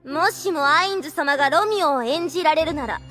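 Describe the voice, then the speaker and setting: A very high, bright vampire girl, haughty and jealous. She talks in archaic courtesan phrasing with theatrical indignation, in the manner of an anime audio drama. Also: a little fast, soft background music. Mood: accusing, indignant. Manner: quaint courtesan-style verb endings, accusing, theatrical.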